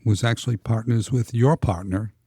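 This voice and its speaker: A man's voice: lowish voice, fairly even intonation, normal speed, warm tone, husky, no room sound, studio quality. A man hosting a podcast, asking his guests questions in a casual interview style. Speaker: podcast host.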